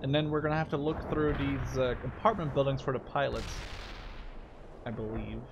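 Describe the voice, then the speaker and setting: A man's voice: mid-range voice, moderate pace, vocal fry, highs cut off. Young man commentating over battle noise. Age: 20s. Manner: thinking aloud. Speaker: gaming video host. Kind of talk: casual gameplay commentary. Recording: loud game gunfire behind. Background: strategy game battle sounds, artillery, vehicles.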